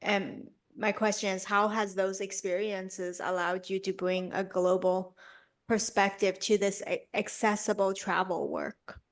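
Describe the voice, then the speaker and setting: A woman hosts a podcast conversation, curious and affirming as she responds and poses questions. Her voice sits at a middle pitch, clear and close to the mic. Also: flat delivery, a little slow. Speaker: podcast host. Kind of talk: interview chat.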